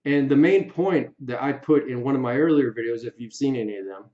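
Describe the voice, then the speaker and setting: A man giving opinion commentary for an online video, speaking emphatically and deliberately in short, separated phrases. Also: mid-range voice. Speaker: YouTube video creator. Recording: roomy echo, slightly dull sound.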